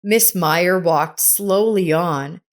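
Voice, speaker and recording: A woman reading a scripted story in a measured storytelling manner. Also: lowish voice. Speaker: story narrator. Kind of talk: scripted audiobook-style narration.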